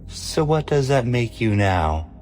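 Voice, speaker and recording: A man's voice: mid-range voice, very animated, takes it slowly, a little mellow, soft background music. A man playing a cool, cocky hustler of a hacker in a radio drama, sly, casual and persuasive.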